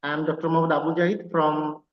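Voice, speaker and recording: A man's voice: fairly high pitch, flat delivery, fast talker, echoey room, muffled recording. A man from Bangladesh, co-hosting an online lecture and giving formal, courteous closing remarks with many hesitant fillers. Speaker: co-host, visiting assistant professor.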